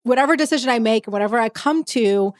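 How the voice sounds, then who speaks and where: A woman's voice: a little high, fast talker. A woman hosting a casual interview, originally a Yiddish speaker from Texas, asking curious, eager questions with lots of fillers. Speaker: podcast host.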